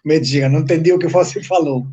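A man's voice: a little high, fast, dark tone. A man from Uruguay whose first language is Spanish, talking in Portuguese mixed with Spanish as a guest on a live chat.

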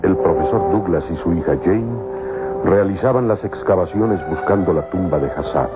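Very deep-voiced, solemn male radio narrator. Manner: solemn, grandiose, measured. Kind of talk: scripted dramatic narration, old radio serial. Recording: muffled old phone-like radio, loud music. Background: dramatic music.

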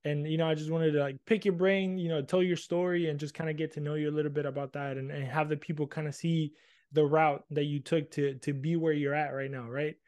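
A man's voice: on the high side, flat delivery, brisk, darkish tone. A man hosting an interview podcast, asking long rambling questions full of restarts and fillers. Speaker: podcast host.

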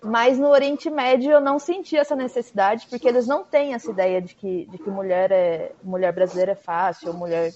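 A woman's voice: medium pitch, fairly even intonation, brisk, few pauses, darkish tone, slight background noise. A Brazilian woman talking casually as a guest about hitchhiking, peppering her speech with fillers. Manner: chatty, frequent "tipo" fillers. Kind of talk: casual conversation. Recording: dull lo-fi sound.

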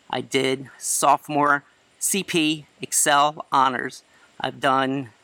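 A man in his forties, a high school English teacher, giving a chatty, self-assured class introduction outdoors in a courtyard. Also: pushed and bright, no room sound. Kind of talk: class introduction video lecture. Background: crickets and chirping.

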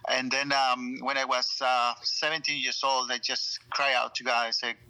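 Man, very bright tense tone, earnest halting testimony, missionary guest. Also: medium pitch, little pitch movement, clear, resonant tone, low-quality recording. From Chile. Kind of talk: personal testimony in an interview.

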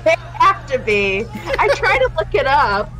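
Higher-voiced woman quipping over a movie. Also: sing-song, a little slow, low-quality recording. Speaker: podcast host. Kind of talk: casual movie reaction commentary. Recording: film soundtrack playing behind.

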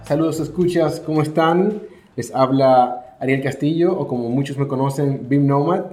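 A male podcast host greets listeners and guides the interview in a friendly, relaxed way. His voice is mellow at a middle pitch. The office room adds a roomy echo. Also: normal speed, faint music behind. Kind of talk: conversational interview.

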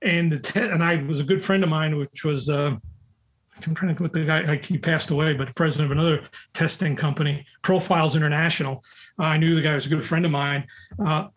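An older man speaks over call audio in a somewhat high voice for a man. He talks as a guest recruiter, drifting from point to point through rambling stories full of restarts and asides. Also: fairly even intonation, fast. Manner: rambling, anecdotal storyteller. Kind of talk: casual conversation on a call.

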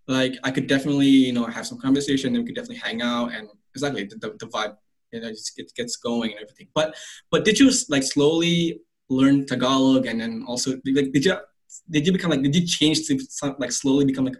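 Fast-talking young man, restless restarts. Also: mid-range voice, poor audio. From Malaysia. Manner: rapid, filler-heavy, frequent restarts. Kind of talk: casual conversation.

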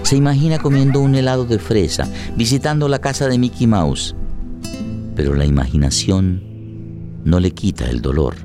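A man's voice: lowish voice, lively intonation, normal speed. A man reading dramatic scripted narration for a radio drama. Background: music.

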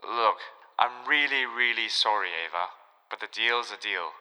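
Very bright-voiced genial collector, reverberant. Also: male voice, fairly low pitch, normal speed, smooth. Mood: apologetic, firm. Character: genial, chatty male collector, apologetic but firm friend. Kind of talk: audio drama acting.

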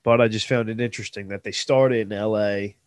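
A man's voice: on the low side, steady tone, fairly quick, dry recording. A young man with a stuffed-up, nasal voice, co-hosting a casual podcast and reading out history trivia in a rambling way. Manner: rambling, many fillers and restarts. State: stuffed-up nose. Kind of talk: casual sports podcast chat.

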